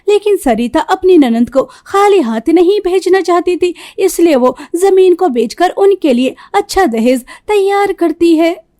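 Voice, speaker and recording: A woman's voice: very high voice, warm tone. A woman reading scripted narration for a cartoon moral story. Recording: music behind the voice. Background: background music.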